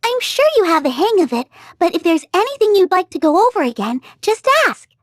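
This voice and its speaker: A woman playing a small, brisk female fairy guide in a video game, bossy and chirpy as she instructs. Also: very high-pitched, sing-song, no echo, clean studio sound. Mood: brisk, eager.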